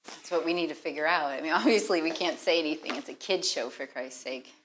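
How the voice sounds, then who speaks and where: An actress playing an earnest librarian who investigates a sinister kids' show, speaking in an articulate, measured way in found-footage game style. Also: female voice, deep voice, fairly bright tone, a little hiss or hum, rough recording. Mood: grave, intent.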